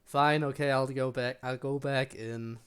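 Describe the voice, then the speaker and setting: A male game streamer with a mid-pitched voice. He comments casually as he plays, muttering to himself and tossing wry asides at the game. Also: fairly even intonation, unhurried, fairly bright tone, smooth. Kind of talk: casual live gameplay commentary.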